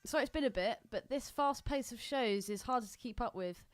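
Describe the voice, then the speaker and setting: A woman's voice: fairly high pitch, brisk, clean tone. A woman co-hosting a podcast, chatting casually in a blunt, teasing way. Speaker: podcast co-host. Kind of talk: casual bantering chat.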